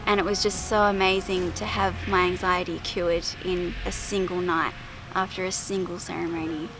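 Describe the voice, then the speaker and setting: Calm, flat-voiced woman with vocal fry. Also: on the low side, rough recording. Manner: calm, measured, gentle. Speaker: ceremony participant. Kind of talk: personal testimony. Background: music.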